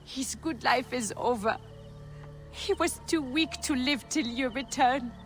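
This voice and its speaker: A woman's voice: high voice, unhurried, fairly bright tone, faint music behind. An actress playing a grieving, stubborn young farm woman who speaks in a guarded, resolute way.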